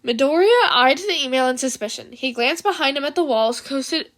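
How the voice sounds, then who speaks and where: High-voiced woman reading fan fiction. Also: expressive, moderate pace, fairly bright tone. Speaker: amateur fan fiction reader. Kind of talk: expressive scripted story narration with casual asides.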